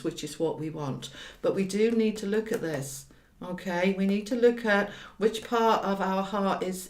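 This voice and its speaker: Low-pitched woman in an echoey room. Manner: conversational, direct address, emphatic repetition. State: slightly croaky voice. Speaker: tarot reader and hypnotherapist. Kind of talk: informal tarot reading to viewers. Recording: roomy, echoey sound.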